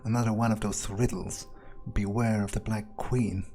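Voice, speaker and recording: A man's voice: on the low side, fairly even intonation, normal speed, mellow, soft background music, close to an everyday voice. A man playing a cocky, cruel, foul-mouthed vampire who muses aloud in a video game roleplay. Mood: musing. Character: cocky, cruel male vampire player character, musing aloud. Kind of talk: in-character game roleplay monologue. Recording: clear background noise.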